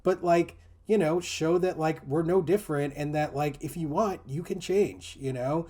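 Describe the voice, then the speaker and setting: A man with a slightly high voice speaks in a casual discussion group. He explains things in an earnest, reflective way, like a teacher, with plenty of "you know" and "like" along the way. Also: moderate pace, warm tone.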